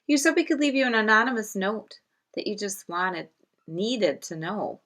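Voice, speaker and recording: A woman's voice: mid-range voice, normal speed, fairly bright tone, natural voice. A woman voicing an earnest fifth-grade student confiding in a teacher in a storybook, chatty in manner.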